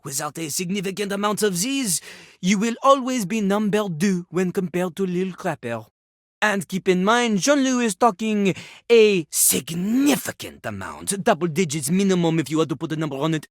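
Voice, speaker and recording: A woman voicing a cocky, theatrical male French rapping toilet in a video game, husky and pompous, with a French accent. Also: lowish voice, animated, normal speed, no echo, clean studio sound, close to an everyday voice. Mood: boastful, haughty. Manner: pompous, talks of himself in third person. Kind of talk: video game character acting with rapped verses.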